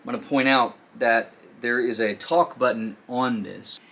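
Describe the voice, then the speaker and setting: Male host, casual unboxing demo. Bright, punchy voice, mid pitch, joking and informal, slight noise behind. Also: fairly even intonation, measured pace. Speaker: host of a product review show. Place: studio.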